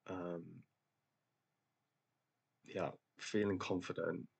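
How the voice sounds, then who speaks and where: A low-voiced man talks through his football management game in a relaxed, rambling way, full of ums and little jokes at his own expense. His voice is deep and gravelly, a touch husky, and stays fairly flat in pitch. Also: extremely slow. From England. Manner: chatty, hesitant, many ums, self-deprecating. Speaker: gaming channel host. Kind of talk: casual game commentary.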